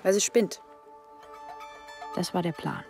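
A woman's voice: fairly low pitch, slow pace, creaky, a little mellow, soft background music. An actress playing a worried, exasperated young woman in a radio drama, moving between dialogue and first-person narration. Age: young adult. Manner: exasperated, questioning.

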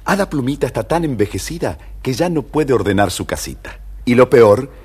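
A man's voice: medium pitch, lively intonation, fairly quick, darkish tone, slightly rough. A man narrating a scripted children's fairy tale as storyteller, theatrical, firm and explanatory.

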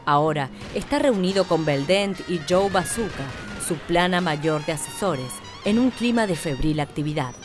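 A woman's voice: on the low side, expressive, normal speed, hardly any pauses, music in the background. A woman reading scripted narration for a radio play.

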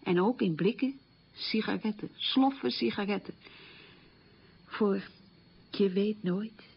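An actress playing an opinionated, weary middle-aged schoolteacher who confides frankly in a conversational radio monologue. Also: female voice, medium pitch, takes it slowly, vocal fry, muffled recording, a little hiss or hum. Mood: confiding. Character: opinionated, weary schoolteacher mother marked by the war.

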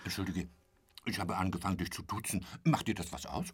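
An actor in a German radio drama playing a garrulous, boastful travelling salesman who rambles chummily and corrects himself, sometimes dropping to a breathy murmur. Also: deep voice, brisk. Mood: chummy, nosy. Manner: chatty, rambling storyteller with a pet polite phrase, correcting himself.

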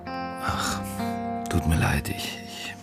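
A man's voice: drawn-out words, over loud music. An actor playing a weary, terse and brooding male police detective in a naturalistic radio drama, questioning and sometimes hesitant. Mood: distracted, subdued.

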